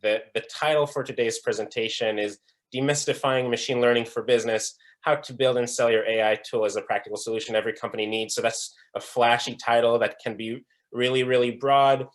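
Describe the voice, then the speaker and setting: Young man from Israel, mid-pitched, earnest conference presenter with ums and restarts. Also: fairly even intonation, a little fast. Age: young adult. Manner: earnest, with frequent um fillers and restarts. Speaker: conference speaker. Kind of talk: presentation talk. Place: online breakout session.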